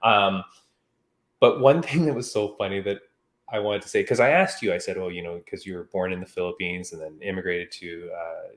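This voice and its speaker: A man from Canada hosting a podcast, his voice sitting a little low. He talks in an easygoing, rambling way, full of "like" and "I mean". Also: brisk. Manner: relaxed, chatty, frequent "like" and "I mean". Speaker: podcast host. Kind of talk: casual podcast chat.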